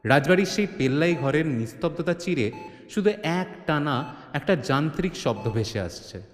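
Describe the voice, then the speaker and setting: A man's voice: on the high side, lively intonation, moderate pace. A man narrating an audio drama as a suspenseful, theatrical storyteller. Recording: echoey, long reverb, music behind. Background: music.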